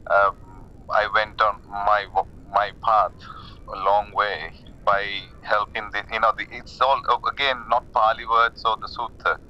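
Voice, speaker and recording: A man in his forties with a Sri Lankan accent, talking casually and haltingly over a muffled, rumbling phone call. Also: flat delivery, slight background noise. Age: 40s. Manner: hesitant, halting, repeats words. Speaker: caller, lay Buddhist practitioner. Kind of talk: casual conversation about personal spiritual path.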